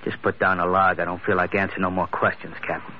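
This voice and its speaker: Man playing a low-voiced, surly American stowaway. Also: little pitch movement, fast, close to an everyday voice. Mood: defiant, bitter. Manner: surly, tough, slangy, grudging. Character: sullen, burly, vengeful male stowaway. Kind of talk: 1940s radio adventure acting. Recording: muffled old radio recording, faint noise.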